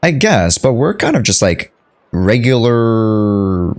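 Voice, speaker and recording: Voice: medium pitch, very animated, slow pace, a little mellow. A game streamer giving casual, slangy playthrough commentary and reacting to the scenes as they play.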